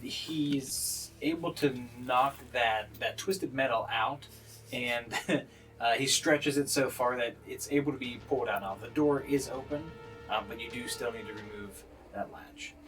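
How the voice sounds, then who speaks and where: Halting male game master over music. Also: mid-range voice, a bit bright. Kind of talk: casual tabletop game narration. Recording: music playing behind the voice. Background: background music.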